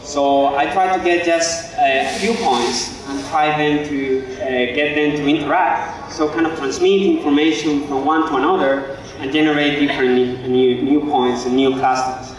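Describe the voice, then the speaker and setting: A man in his thirties from Spain with a Spanish accent, giving an informal lecture in halting phrases over a handheld microphone. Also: fairly high pitch, steady tone, a little hiss or hum. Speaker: guest lecturer, architect and educator. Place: open-sided stage before an audience. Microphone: handheld microphone through a PA. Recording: very echoey, distant from the microphone.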